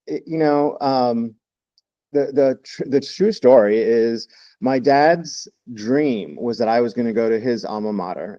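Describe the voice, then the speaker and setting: A man in his fifties from Texas, a podcast guest telling his story in a relaxed, reflective way with many fillers. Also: mid-range voice, no echo, highs cut off. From Texas, United States. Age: 50s. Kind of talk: interview storytelling.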